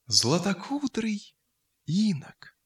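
A man tells a fairy tale to children in expressive narration. His voice is fairly high and swings widely in pitch. There is echo on it and light music behind. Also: extremely slow. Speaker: storyteller.

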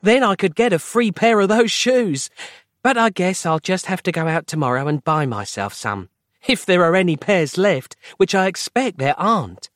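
A man's voice: high-pitched, animated, normal speed, a bit bright, dry recording, studio quality, voice pushed up. A man voicing a chatty, gushing woman receptionist in a comic thriller audiobook. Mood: gleeful, wistful.

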